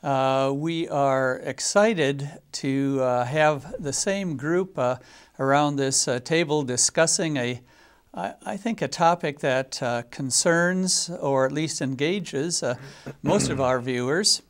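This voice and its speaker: Retired guy in his 70s hosting a local tv panel, pauses a lot, uh uh. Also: a little high, clean tone. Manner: hesitant, many filler pauses. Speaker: talk show host. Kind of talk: community TV panel discussion.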